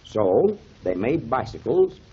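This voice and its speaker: Kindly uncle type in a 30s radio drama, lively pitch, husky, muffled old recording. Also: male voice, takes it slowly, full character voice. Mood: enthusiastic explaining. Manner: avuncular, explanatory, emphatic. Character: kindly grown man, storytelling mentor to children, pipe smoker. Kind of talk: 1930s radio acting.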